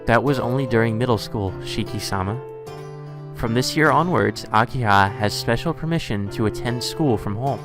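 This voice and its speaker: A man's voice: medium pitch, moderate pace, close to an everyday voice. Male reader as young maid for a visual novel: subdued, courteous, deferential, music underneath. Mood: polite, subdued. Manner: formal, deferential. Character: polite soft-spoken young maid.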